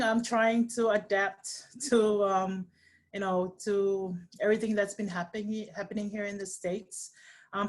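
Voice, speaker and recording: A woman from Samoa, a guest on a webinar interview over a video call, talking earnestly with many pauses and restarts. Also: mid-range voice.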